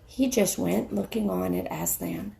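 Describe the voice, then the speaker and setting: A middle-aged woman reading a children's story aloud as a teacher, in a deliberate, dramatic narrating style. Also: on the low side, gravelly, warm tone. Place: small wood-ceilinged room indoors. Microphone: handheld phone at arm's length.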